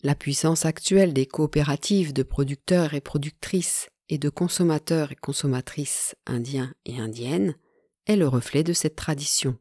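A woman narrating a nonfiction audiobook in a measured, even reading style. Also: sits low, slightly rough, dry recording, clean studio sound.

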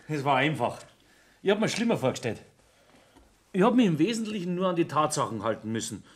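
A man's voice: a little high, big pitch swings, a little fast, breathy edge. Bavarian foreman guy, eager to please his boss, plain factual delivery, sixties radio drama. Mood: relieved. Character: obliging construction foreman, self-justifying subordinate to his boss. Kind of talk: 1960s German radio play acting.

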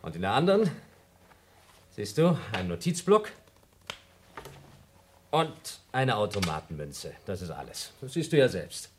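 A man's voice: on the low side, big pitch swings, unhurried, long pauses, noisy background. A man playing an impulsive, sarcastic astronaut in a German radio play, arguing and accusing his crewmate. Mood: matter-of-fact. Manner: argumentative, sarcastic, swinging from muttering to exclaiming.

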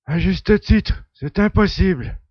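A man's voice: high voice, slow pace, dry recording, muffled recording. A man playing a knowledgeable, skeptical adventurer in an audio drama, speaking matter-of-factly. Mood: incredulous. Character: male adventurer, knowledgeable, skeptical blood brother of an alien.

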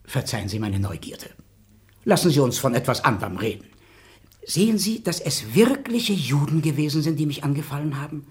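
A man's voice: fairly high pitch, very animated, fairly quick, a little mellow. An actor in his fifties playing a courteous, effusive country baron in a radio drama. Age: 50s. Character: warm-hearted fifty-year-old male baron.

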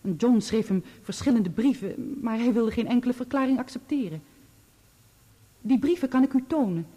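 An actress in a Dutch radio play, playing a loyal, bereaved woman who testifies in measured, articulate, formal sentences. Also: fairly high pitch, expressive, warm tone. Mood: composed, assured.

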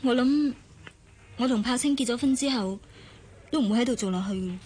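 An actress playing an earnest, proud young office worker and bride-to-be in a Hong Kong Cantonese radio drama. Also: female voice, fairly high pitch, brisk, warm tone, breathy edge. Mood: resolved.

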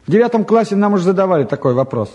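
A restless teenage lad in a radio play, with a fairly high, mellow voice. He grumbles in slang, sardonic and flippant about everything around him. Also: sing-song, fast talker, dull audio. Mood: sardonic, frank. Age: young adult. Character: bored, restless, sardonic eighteen-year-old younger son. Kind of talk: naturalistic stage and radio drama acting.

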